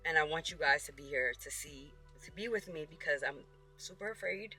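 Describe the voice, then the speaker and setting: A woman with a sharply bright, strained voice speaks over clear music. She is vlogging something deeply personal, earnest and exposed, and sounds emotional and close to tears. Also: a little fast, rough recording. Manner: earnest, vulnerable. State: emotional, tearful. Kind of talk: emotional personal confession to viewers.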